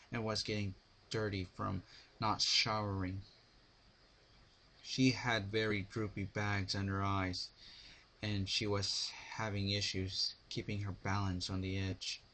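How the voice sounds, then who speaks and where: A man's voice: lowish voice, a bit bright, a little hiss or hum. A man giving an amateur dramatic reading of a story, narrating between the characters' lines. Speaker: amateur reader of fan fiction.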